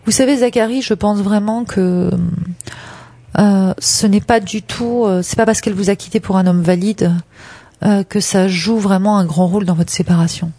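A woman answering listeners' love questions on a radio show, frank and direct, with many hesitations. Her voice is husky and mellow. The studio sound is dry and close. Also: mid-range voice, steady tone, moderate pace, no echo. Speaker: relationship advice guest. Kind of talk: radio advice talk.

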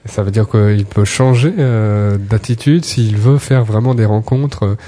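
Calm male radio host, low dark voice. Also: measured pace. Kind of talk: radio show hosting, reading listener questions. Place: radio studio.

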